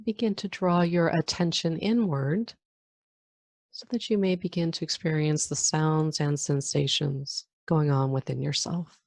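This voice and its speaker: A woman guiding a meditation as a teacher, giving calm, gentle instructions with long pauses between phrases. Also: low-pitched, no room sound, studio quality. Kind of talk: guided meditation.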